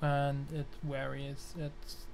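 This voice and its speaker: A man speaks slowly and in a flat, even tone, reasoning aloud through technical details. He often stops mid-sentence to think, and his voice has a noticeable fry. There is some background noise on the recording. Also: mid-range voice. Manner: halting, pausing mid-sentence while reasoning. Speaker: electronics hobbyist presenter.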